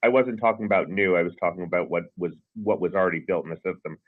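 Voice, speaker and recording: Low-voiced male financial analyst. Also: steady tone, fast, phone-quality sound. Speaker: financial analyst asking questions. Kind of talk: unscripted questioning on an earnings call. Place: telephone conference call.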